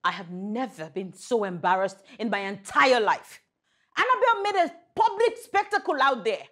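An actress playing a proud, independent, outspoken widow in a naturalistic screen drama. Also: female voice, a little high, sing-song, normal speed, a bit bright. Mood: indignant.

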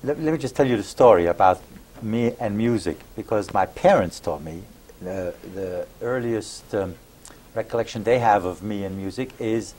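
A man in his sixties from New York City, telling stories about his life in a halting, good-humored way as an interview guest. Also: fairly low pitch, darkish tone. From born in Belgium, raised in New York City. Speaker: interview guest, retiring music professor. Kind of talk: conversational reminiscing. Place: small cable TV studio. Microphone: lapel mic. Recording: noisy 2001 VHS studio recording.

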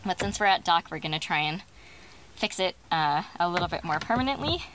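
A woman's voice: on the low side, expressive, brisk, noisy background. A young woman co-hosting a sailing vlog, talking casually and laughing easily as she explains boat jobs outdoors on a docked sailboat. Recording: music playing underneath.

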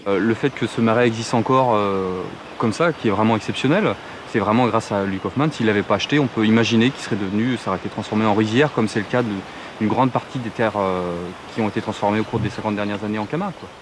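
A man's voice: lowish voice, fast talker. A man speaking spontaneously in an interview, recorded outdoors. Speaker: documentary interviewee. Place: outdoors in a marsh. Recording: noisy outdoor location sound.

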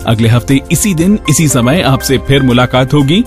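A man's voice: medium pitch, expressive, moderate pace, loud music behind the voice. A man hosting a radio show, reading scripted narration and announcements.

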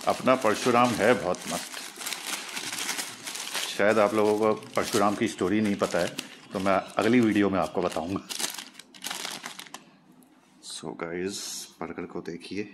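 Chatty man vlogging on a phone. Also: on the low side. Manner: chatty, addresses viewers as guys, mixes English words. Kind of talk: casual food vlog to camera. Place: car, home and gym. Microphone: phone camera at arm's length. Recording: noticeable background noise.

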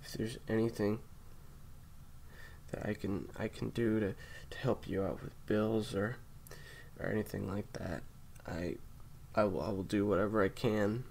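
Assassin for audio roleplay male actor: low register, guilt-ridden, protective, halting, whisper-prone. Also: steady tone, creaky, darkish tone, a little hiss or hum. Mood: guilty, grieving. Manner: halting, repeating himself, often dropping to urgent whispers. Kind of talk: audio roleplay drama addressed to the listener.

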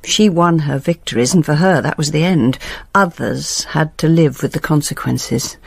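Creaky, husky, bitter grandmother. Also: low voice, normal speed, exaggerated voice. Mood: cold resolve. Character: bitter, grieving grandmother confessing in a letter. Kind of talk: dramatic monologue in British radio drama.

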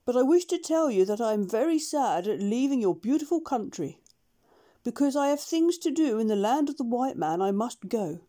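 A woman's voice: a little high, very animated, normal speed, dark tone, voice pushed up. A woman voicing a kind, absent-minded older male doctor, speaking mildly and hesitantly. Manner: mild, hesitant, mumbling.

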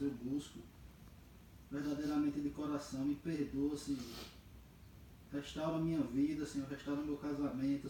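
A man's voice: a little high, mellow, recorded from a distance, a little hiss or hum, close to an everyday voice. Young man playing a troubled husband in an echoey hall. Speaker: amateur actor in a church skit. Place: wood-panelled hall, indoors. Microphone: far camera. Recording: echoey hall, picked up from afar.

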